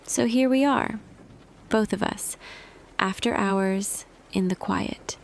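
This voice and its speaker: A woman playing a tender female boss confessing love to an employee in an intimate roleplay audio, hushed and hesitant, slipping between whisper and soft voice. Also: medium pitch, slow pace, gravelly, a little hiss or hum. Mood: yearning, resolved.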